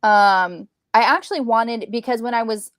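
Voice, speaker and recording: A woman co-hosting a casual book discussion, retelling in a chatty, animated way with quoted asides. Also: fairly high pitch, normal speed, no room sound, studio quality. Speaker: book club co-host.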